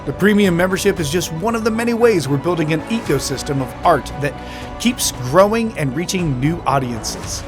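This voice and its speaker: A man's voice: a little high, expressive, moderate pace, music in the background. A man reading scripted promotional narration as an announcer.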